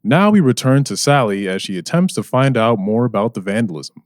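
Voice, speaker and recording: A man's voice: mid-range voice, animated, brisk, no room sound, clean studio sound, lightly acted. A man playing a dramatic narrator, reading scripted narration for a mystery radio serial. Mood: suspenseful.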